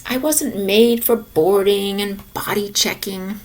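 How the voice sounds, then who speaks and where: A woman's voice: mid-range voice, slow pace, darkish tone. A woman voicing an earnest, stubborn young boy in a children's storybook. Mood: insistent. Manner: earnest, insistent. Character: earnest, stubborn young boy who loves ice dancing.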